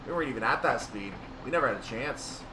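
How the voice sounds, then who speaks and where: Male gaming host, mid-pitched, thinking aloud through his play, recorded off-mic with clear background hum. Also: fast, distant mic. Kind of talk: unscripted gameplay commentary on a train simulator. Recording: roomy, off-mic, steady hum and rumble.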